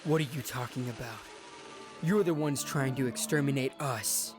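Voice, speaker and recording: A man's voice: mid-range voice, normal speed, lightly acted. A man playing a teenage boy who rehearses an indignant zombie role in a melodramatic school play. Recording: music behind the voice.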